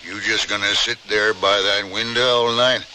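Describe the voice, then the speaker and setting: An actor playing a wounded, wry and kindly 61-year-old frontier uncle, with a rural Western American accent in a radio drama. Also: male voice, fairly low pitch, fairly even intonation, normal speed, natural voice. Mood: coaxing. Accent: rural American West. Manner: folksy, chuckling, homespun phrasing. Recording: noisy old radio broadcast recording.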